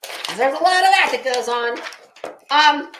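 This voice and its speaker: A woman's voice: high voice, lively intonation, measured pace, a bit bright, a little hiss or hum. A middle-aged woman giving an unscripted, rambling commentary rant in a sardonic, scornful, sweary manner. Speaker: online commentary vlogger. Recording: echoey room.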